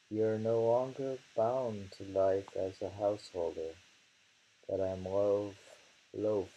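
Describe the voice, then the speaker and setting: A man reading translated poetry aloud in a deliberate way and commenting on it casually, on a dull recording. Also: lowish voice, mellow, slightly rough. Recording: dull lo-fi home recording with hiss.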